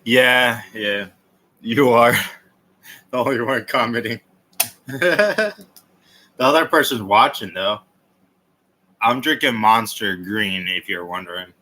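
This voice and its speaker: A man's voice: medium pitch, slow pace, pauses often, bright, forceful tone. A man chatting casually on a livestream, rambling between topics and answering viewer comments in a blunt, opinionated way. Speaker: livestream host.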